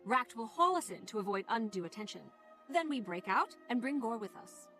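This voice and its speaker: An actress playing a sly, self-assured spy in a fantasy video game, cool and condescending. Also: female voice, fairly high pitch, expressive, brisk, pushed and bright, faint music behind. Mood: confident, scheming.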